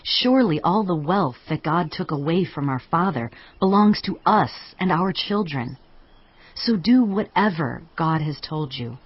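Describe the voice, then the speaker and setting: An actress playing an aggrieved, resentful wife and daughter in a dramatized audiobook, recorded dry and slightly dull. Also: female voice, deep voice, lively intonation, a little slow, slightly rough, no echo, full character voice.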